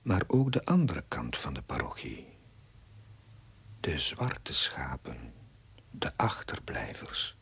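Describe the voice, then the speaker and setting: An actor playing a pensive, self-doubting village curate, narrating his diary in a measured, hushed way in a TV period drama. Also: rumbling low, sing-song, husky, in a whisper, full character voice. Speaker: narrator, a young village priest.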